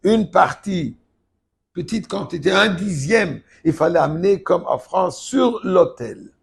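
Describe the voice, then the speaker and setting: An older man, a rabbi, giving a Torah lesson, emphatic and at times forceful. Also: high-pitched, lively intonation, measured pace, vocal fry, slightly rough. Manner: emphatic, rising to forceful outbursts.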